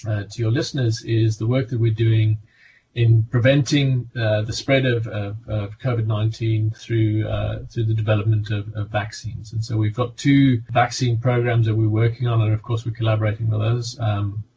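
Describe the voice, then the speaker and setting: A middle-aged man with a South African accent, a company medical director speaking in an interview over a video call, measured with many fillers and restarts. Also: on the low side, little pitch movement, warm tone. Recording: muffled video-call audio, faint noise.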